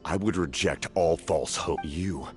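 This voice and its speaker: A man's voice: deep voice, measured pace. A man voicing a grim, embittered survivor of a fallen nation in a video game, stern, cold and formal. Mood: grim, unyielding. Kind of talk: scripted video game voice acting.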